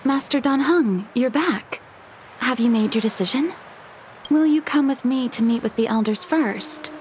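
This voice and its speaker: A woman's voice: a little high, a little slow, a little mellow, a little hiss or hum, lightly acted. A woman voicing a deferential, protective attendant in a video game, speaking formally, politely and earnestly. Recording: music behind the voice.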